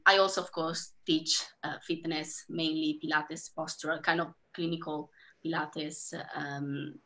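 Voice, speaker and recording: Bright-voiced Italian woman on a video call. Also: fairly low pitch. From Italy. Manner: chatty, many fillers, laughs between phrases. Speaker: panel guest, dance educator. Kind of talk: casual video-call introduction. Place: home room. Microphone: laptop webcam mic on video call.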